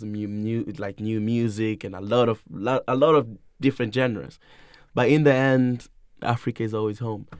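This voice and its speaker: Playful low-voiced Tanzanian-born man. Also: darkish tone, smooth, no room sound, clean studio sound. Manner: playful, laughs often, loose rambling answers. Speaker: musician guest. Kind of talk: casual interview chat.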